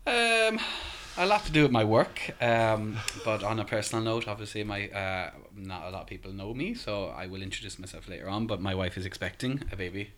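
A man in his thirties with a soft Irish accent, a podcast guest talking casually with lots of ums and restarts. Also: on the low side, a little fast, few pauses, a little hiss or hum. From Ireland. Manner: hesitant, many ums and restarts.

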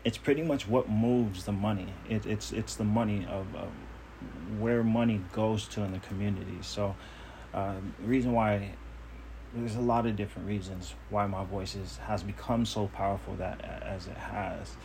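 A man's voice: fairly low pitch, steady tone, normal speed, vocal fry, a little mellow, a little hiss or hum, low-quality recording. A man talking on his own podcast in a casual monologue, earnest, self-assured and emphatic. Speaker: podcast host.

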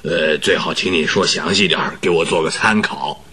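An actor playing a gruff but courteous police section chief with a husky voice in a Mandarin radio drama. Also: male voice, normal speed, pushed and bright. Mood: interested, polite. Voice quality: very deep, husky.

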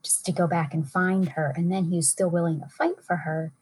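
A woman's voice: lowish voice, steady tone, brisk, darkish tone, husky, rough recording. A woman chatting casually as a guest, a little hesitant as she thinks aloud. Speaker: podcast guest, a parent. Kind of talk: casual chat.

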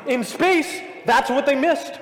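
A man's voice: very high-pitched, takes it slowly, fairly bright tone. A man bantering as a panelist in a live discussion. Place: large room at a convention. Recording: echoey hall, roomy live recording.

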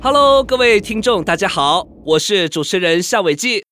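A man with a Taiwanese Mandarin accent hosting and narrating a radio drama in a theatrical, expressive storytelling manner. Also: sits high, normal speed, over music.

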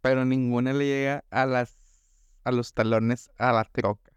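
A man's voice: mid-range voice, flat delivery, unhurried, smooth, no room sound, lo-fi sound. Regio guy rambling on a podcast, says güey a lot, clean dry sound. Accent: northern Mexican (Monterrey). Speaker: podcast co-host. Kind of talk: casual chat.

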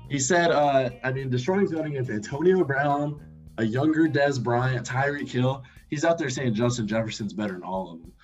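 A man's voice: mid-range voice, normal speed. A man hosting a podcast, chatting casually and debating sports with friends. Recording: slightly dull audio, faint music underneath.

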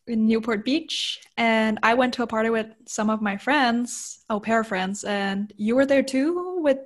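Bubbly young Danish woman, higher voice. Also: a little slow. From Denmark. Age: 20s. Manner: giggly, chatty, self-conscious. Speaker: podcast co-host. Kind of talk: casual chat. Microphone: phone call.

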